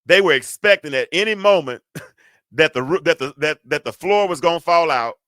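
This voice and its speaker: A middle-aged man hosting a talk, with a deep, stentorious voice, lecturing a group in a lively, preacher-like way, full of rhetorical questions and big swings between loud and quiet. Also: moderate pace, dry recording, clean studio sound. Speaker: host.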